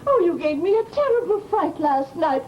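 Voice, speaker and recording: A gossipy American landlady in a vintage radio chiller. Her voice is high and her talk is folksy and exclamatory, quick to take offense. The old recording has some noise under it. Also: sing-song, lo-fi sound. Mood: flustered. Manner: gossipy, folksy, exclamatory, huffy when slighted. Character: chatty, nosy, easily offended landlady, Missus Hawkins. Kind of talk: old-time radio horror drama acting.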